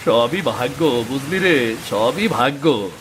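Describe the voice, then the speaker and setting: A man's voice: medium pitch, expressive, slow, slight background noise. A man voicing a lazy, grumbling farmer in a cartoon moral story, with sighing, drawling complaints. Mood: nonchalant. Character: lazy grumbling male farmer who trusts in luck.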